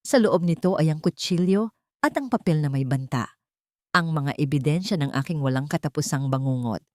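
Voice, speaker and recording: Woman, deep register, solemn audio drama narrator, deliberate delivery, dry sound. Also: darkish tone, no echo. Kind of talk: dramatic scripted first-person story narration.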